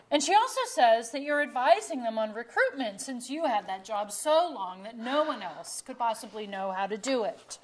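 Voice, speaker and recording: A woman acting a sceptical, sharp-tongued middle-aged wife in a staged play reading, pointed and insistent. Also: a little high. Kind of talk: theatrical dialogue reading. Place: museum auditorium stage.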